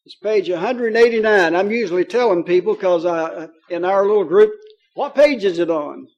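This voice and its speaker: A man's voice: high-pitched, moderate pace, a little mellow, dull audio. A man with a Kentucky accent preaching a sermon in a folksy, joking way, with many fillers. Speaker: pastor.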